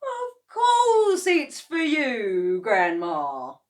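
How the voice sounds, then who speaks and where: A woman voicing an excitable, bossy farmer father in a storybook reading. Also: very high voice, slow pace, voice pushed up, exaggerated voice. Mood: sweet. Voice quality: loud, bossy.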